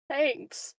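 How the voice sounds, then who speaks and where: A woman's voice: high voice, no room sound, studio quality. A woman chatting casually as a tabletop game player, amused and giggly. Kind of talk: casual chat.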